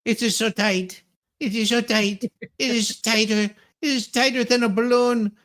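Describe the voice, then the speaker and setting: A woman's voice: a little high, steady tone, measured pace, fairly bright tone. A woman voicing a folksy, sassy Southern courtroom witness in a theatrical, stammering comedic reading. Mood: pleading, absurd.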